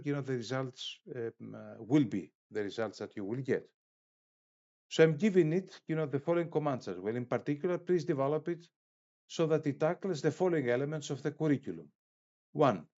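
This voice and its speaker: Halting male lecturer, dull lo-fi sound. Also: mid-range voice, a little mellow. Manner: halting, hesitant delivery with frequent filled pauses. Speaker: lecturer of an online course. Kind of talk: explanatory lecture, reading out prepared prompts.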